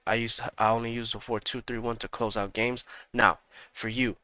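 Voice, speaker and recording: Slightly hoarse man, bright rambling talk. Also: fairly low pitch, dry recording. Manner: rambling, repeats himself, talks straight to viewers. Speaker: gaming channel host. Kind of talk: casual tactics walkthrough.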